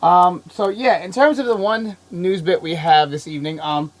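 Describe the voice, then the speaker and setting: A man hosting a casual podcast, sick and coughing, talking in an excitable, rambling way and laughing often. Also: high voice, normal speed. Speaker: podcast host. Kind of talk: casual chat.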